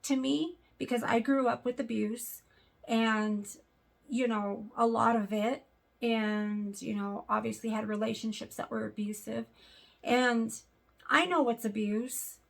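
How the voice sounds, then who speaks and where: A young adult woman with a sore throat and a raspy voice, talking earnestly to camera in a casual vlog with lots of fillers. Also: on the high side, fairly even intonation, measured pace. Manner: earnest, hesitant, many fillers. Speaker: vlogger.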